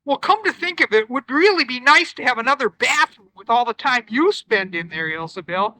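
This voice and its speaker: A woman voicing a meek, kindly fisherman husband in a lowered, gentle voice. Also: lively intonation, fairly quick.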